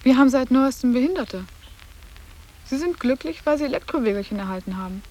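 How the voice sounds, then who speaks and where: A woman's voice: high voice, lively intonation, a little fast, warm tone, highs cut off, slight background noise. An actress playing a sarcastic, defiant schoolgirl at a boarding school in a literary radio drama, with pert, precise delivery. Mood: earnest, insistent. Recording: echoing, reverberant hall.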